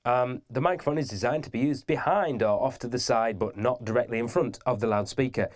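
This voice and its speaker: A man's voice: mid-range voice, a little fast, dry recording, studio quality. A man giving an informal step-by-step video tutorial in a methodical, instructive manner.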